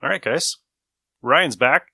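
A man's voice: medium pitch, takes it slowly, vocal fry, sharp tone, husky, no echo, studio quality. A man hosting a podcast in a casual, informal chatting style. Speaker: podcast host. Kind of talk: casual chat.

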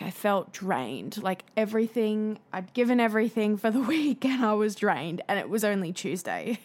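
A woman talks alone on her podcast in an easygoing, confiding way. Her voice carries a slight rasp from singing the night before, and she leans on fillers as she goes. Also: mid-range voice. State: raspy from singing. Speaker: podcast host. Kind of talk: casual solo podcast chat.

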